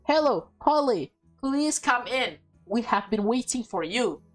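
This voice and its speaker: A woman voicing a nervous teenage boy disguised as a polite maid in a visual novel, halting and overly formal. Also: on the high side, lively intonation, faint music behind, voice pushed up. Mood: stiffly polite. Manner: halting, stammering, overly formal when covering up. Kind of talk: visual novel dialogue read in character.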